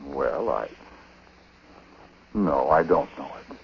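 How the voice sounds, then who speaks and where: Troubled husband and dutiful nephew, very low voice, halting confessional delivery, vintage radio drama with muffled, hissy sound. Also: male voice, animated, gravelly, slightly rough, full character voice. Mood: uneasy, gentle. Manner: halting, conversational. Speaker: lead actor and narrator. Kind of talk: 1940s radio acting, first-person confessional narration. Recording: noisy, muffled 1940s radio recording.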